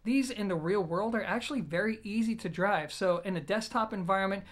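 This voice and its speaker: A man, giving a conversational, emphatic product review to camera in an explanatory, informal way. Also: high voice. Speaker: audio gear reviewer and host. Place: small indoor room, plain wall.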